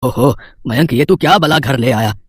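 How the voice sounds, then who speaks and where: A man acts a middle-aged father-in-law in a comic moral tale. His mid-pitched voice is bemused and halting, muddling words, in a dry recording. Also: slightly rough, no echo, lightly acted. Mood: flustered. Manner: muddled, stammering exasperation.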